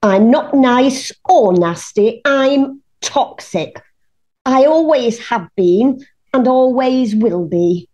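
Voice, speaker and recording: A woman's voice: on the high side, expressive, slow pace, darkish tone. A woman playing a gloating villain queen. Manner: haughty, menacing, theatrical. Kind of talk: local radio pantomime acting.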